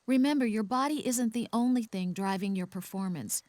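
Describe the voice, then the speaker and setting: A woman playing a caring but firm counselor in a video game, explaining things with gentle insistence. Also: fairly high pitch, a little fast, no room sound, close to an everyday voice. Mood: instructive.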